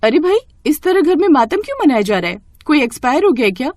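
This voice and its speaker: A woman voicing an outspoken, protective young sister-in-law in a cartoon story, brisk, scolding and emphatic. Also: high-pitched, big pitch swings, darkish tone, no room sound, studio quality, pitched above the performer's own voice. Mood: blunt concern.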